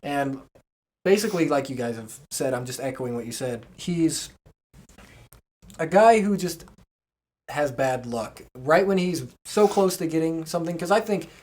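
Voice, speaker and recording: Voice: mid-range voice, a little slow, darkish tone. A podcast co-host chatting casually and enthusiastically as a wrestling fan.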